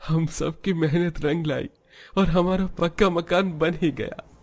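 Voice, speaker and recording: Cartoon family drama: male actor as devoted, struggling young husband, slightly high register, earnest and pleading. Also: fairly even intonation, a little slow. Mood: resolved. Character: earnest, caring young husband and father in hardship. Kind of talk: Hindi animated family drama voice acting.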